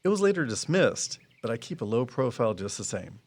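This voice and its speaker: Casting: knowledgeable male woodsman, guarded and folksy, mid-pitched, very varied intonation, audio drama. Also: big pitch swings, fairly quick, darkish tone. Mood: regretful. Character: Walt, guarded, knowledgeable woodsman and conservation volunteer. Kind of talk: full-cast audio drama dialogue.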